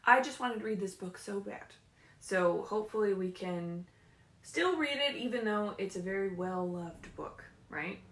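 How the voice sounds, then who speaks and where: A woman leading a story time for young children as a librarian, animated and encouraging, often putting questions to the kids, speaking from a room at home. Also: medium pitch, normal speed. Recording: roomy, echoey home livestream.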